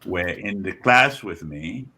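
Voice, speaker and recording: Older liberian guy in an interview, lowish raspy voice, thoughtful measured delivery, lots of pauses. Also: animated, bright, forceful tone. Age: 70s or older. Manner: measured, deliberate phrasing with frequent pauses and repetitions. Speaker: guest author and scholar. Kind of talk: reflective interview talk.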